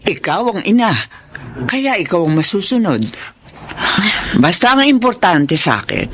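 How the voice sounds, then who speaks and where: An actress playing a middle-aged Filipino grandmother, protective and secretive, in an expressive, reassuring radio drama style. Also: on the low side, a little slow, slight background noise. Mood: firm, caring. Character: doting, secretive, protective grandmother and mother-in-law figure.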